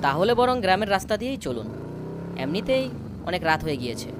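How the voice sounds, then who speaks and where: A woman's voice: on the low side, sing-song, quick pace, very noisy. A woman playing a polite young city student who narrates a cartoon story in the first person. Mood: polite, questioning. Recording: prominent music behind the voice.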